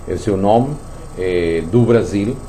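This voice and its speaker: A radio talk host, a man whose Portuguese carries Spanish slips from growing up in Venezuela. His voice is deep-toned and very mellow, with a faint hum behind it. He talks in loose, repetitive runs, restarting phrases as he thinks aloud. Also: slow pace. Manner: repeats words, thinks aloud with many restarts.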